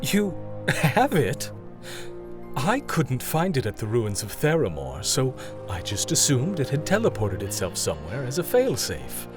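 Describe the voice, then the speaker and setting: A man's voice: mid-range voice, wildly swooping pitch, measured pace, over music. A man playing a proud, defensive blood elf archmage in an audio drama, haughty and formal in speech. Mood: urgent.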